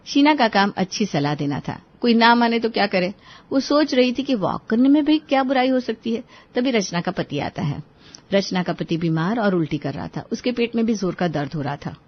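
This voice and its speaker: Female storyteller, mid-pitched, scripted Hindi tale narration, dull lo-fi recording. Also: expressive, normal speed. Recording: dull, lo-fi audio.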